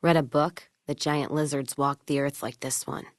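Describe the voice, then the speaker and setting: A woman's voice: low-pitched, steady tone, moderate pace, dry recording, clean studio sound, close to an everyday voice. A woman playing a blunt, hard-drinking, foul-mouthed wasteland companion in a video game, wry and wary, with clipped, folksy phrasing.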